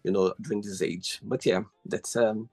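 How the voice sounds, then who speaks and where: A man from Brazil, a native Portuguese speaker, hosting a casual interview in English with an effusive, animated manner. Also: on the low side, normal speed, gravelly, soft background music. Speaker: host. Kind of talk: casual video call interview.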